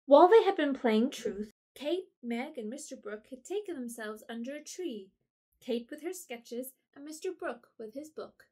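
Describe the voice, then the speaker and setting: Woman narrator, higher voice, measured, audio drama storytelling. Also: expressive, warm tone, husky. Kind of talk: scripted narration.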